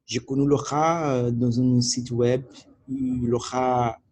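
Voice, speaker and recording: A man whose first language is Portuguese, speaking French as a learner with a Portuguese accent in a video diary, halting and searching for words with many restarts. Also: medium pitch, flat delivery, a little mellow. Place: at home by his computer.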